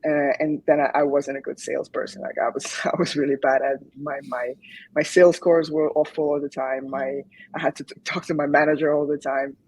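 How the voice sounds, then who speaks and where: A woman's voice: low-pitched, fairly even intonation, a little fast, a little mellow, a little hiss or hum, rough recording. A woman in her thirties from Croatia, chatting casually as an interview guest, hesitant with many fillers and restarts. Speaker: guest, UX design graduate.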